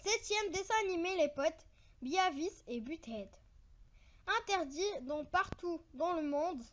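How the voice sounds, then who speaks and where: Childlike video host, very high-pitched, informal countdown read in halting phrases with chummy asides to viewers. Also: lively intonation, fairly bright tone, lo-fi sound, slight background noise.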